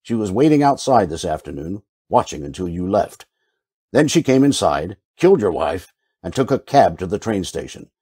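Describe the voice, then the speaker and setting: A man acting a shrewd, world-weary detective in an old-time radio drama, deadpan and wry in delivery. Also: sits low, moderate pace, husky, dry recording, studio quality, exaggerated voice. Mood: coolly accusing.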